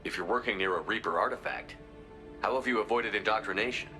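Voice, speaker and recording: A man's voice: fairly low pitch, fast, bright, forceful tone. A man playing a terse, commanding space military officer in a video game, blunt and clipped in his questioning. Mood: probing, skeptical. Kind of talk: video game cutscene acting. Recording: music under the dialogue.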